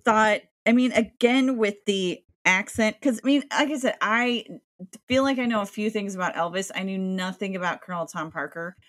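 A chatty woman talks through a film in a loose, rambling way, stacking up fillers as she goes. Her voice sits at a middle pitch. The sound is close and dry. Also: normal speed, fairly bright tone, no room sound. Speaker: podcast co-host. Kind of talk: casual film discussion.

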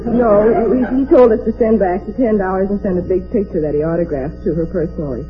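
An American man telling anecdotes as a quiz show contestant in a polite, measured way. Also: high-pitched. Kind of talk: anecdotal interview answers. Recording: dull old radio recording with audience. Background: music and studio audience.

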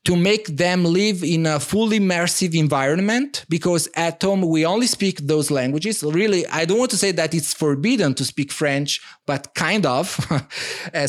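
Higher-voiced Italian-accented man, halting. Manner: hesitant, many restarts and fillers, earnest. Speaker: podcast guest. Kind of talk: conversational interview answers.

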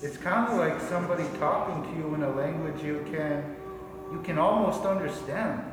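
An actor playing a folksy, wonderstruck amateur inventor in a 1940s radio play, musing in a halting way. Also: male voice, on the high side, close to an everyday voice. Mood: wondering. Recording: echoey hall, distant mic, noisy.

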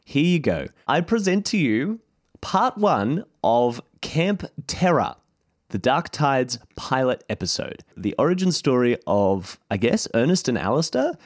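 Male podcast host, mid-pitched, informal rambling intro style, dry recording. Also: darkish tone, dry recording. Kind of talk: casual spoken introduction to listeners.

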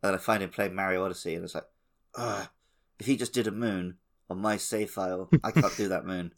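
Male podcast co-host, slightly low voice, informal gaming-chat delivery. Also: steady tone, a little fast.

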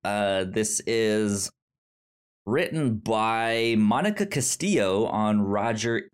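Podcast host just chatting about movies, kinda stammery, repeats words, mid pitched voice. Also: expressive, slow pace, clean tone. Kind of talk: casual film review chat.

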